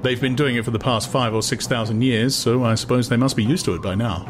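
A man's voice: mid-range voice, fairly even intonation, fast, music in the background, lightly acted. A man voicing a coolly unruffled, ironic man with a philosophical, lecturing manner in an audiobook. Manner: philosophical, feigned carelessness, didactic.

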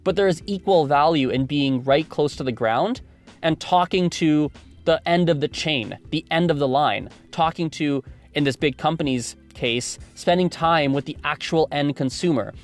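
A man's voice: fairly high pitch, normal speed, soft background music. A man in his twenties from Canada, hosting a solo podcast and thinking aloud, rambling with many restarts. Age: 20s. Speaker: podcast host.

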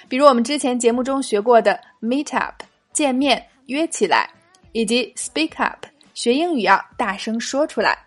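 A woman hosting a short language lesson in a clear, upbeat teaching voice, repeating the key phrases. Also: on the high side. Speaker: English teacher and show host. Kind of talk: scripted lesson narration. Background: faint background music.

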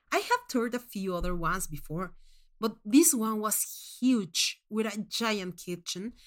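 A woman reading a first-person short story aloud as narrator, in an expressive, dramatic manner. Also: medium pitch.

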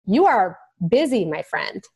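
A woman's voice: medium pitch, big pitch swings, a little slow, darkish tone, breathy edge. A woman hosting a podcast, introducing the show and interviewing a guest with bubbly enthusiasm. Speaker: podcast host. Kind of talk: scripted intro then casual interview.

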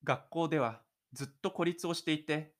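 A man hosting his own audio drama show, narrating and chatting gently. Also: fairly high pitch, moderate pace. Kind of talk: audio drama narration and casual host chat.

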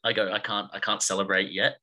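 A man's voice: lowish voice, flat delivery, quick pace, pushed and bright. A young man talking on a podcast, earnest, his sentences tumbling over each other. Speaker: podcast guest, sports fan. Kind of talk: casual chat.

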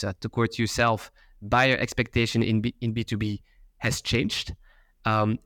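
A man's voice: medium pitch, fairly bright tone, no echo, studio quality. A man hosting a podcast, reading an introduction and then asking loose, rambling questions. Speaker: podcast host.

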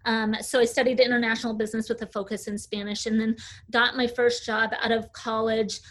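A woman in her forties, an agency founder, telling her career story in a relaxed interview with frequent "uh"s. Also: fairly high pitch, fairly quick. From United States. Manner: chatty, fluent storyteller with filler "uh"s. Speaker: agency CEO, guest. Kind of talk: casual interview talk.

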